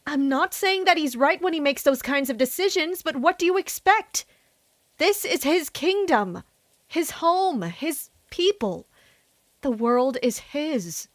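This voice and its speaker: A woman playing a loyal, pragmatic captain in an audio drama, speaking in a measured, firm, admonishing way. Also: high voice, animated, no echo. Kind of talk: dramatic audio fiction acting.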